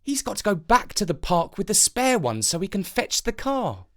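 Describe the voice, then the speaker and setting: A narrator voicing an eager, excitable young boy in a children's storybook. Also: medium pitch, moderate pace, voice pushed up. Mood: matter-of-fact.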